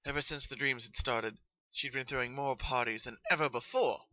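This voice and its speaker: A man reading a story as narrator, in an expressive, earnest dramatic style. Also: medium pitch, normal speed, no echo, lo-fi sound.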